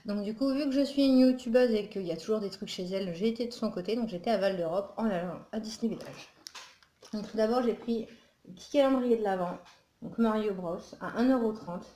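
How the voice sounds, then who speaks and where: A woman's voice: mid-range voice, brisk, warm tone, echoey room. A woman from northern France chatting casually through a holiday shopping haul, rambling with lots of fillers and asides, recorded at home. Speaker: vlogger. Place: home room with Christmas tree behind.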